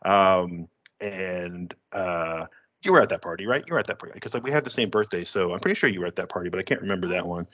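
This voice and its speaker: A man's voice: low voice, lively intonation, fast, fairly bright tone. A man in his thirties from Western New York, co-hosting a podcast and telling a rambling story with frequent laughs and restarts. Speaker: podcast co-host. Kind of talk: casual chat. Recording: dull lo-fi audio.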